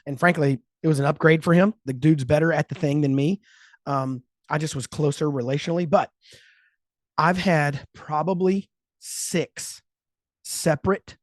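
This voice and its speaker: Podcast host, 50s, male: lively storyteller, slightly higher voice, bone-dry studio sound. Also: dry recording. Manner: animated storyteller, acts out quotes, laughs often. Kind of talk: casual chat.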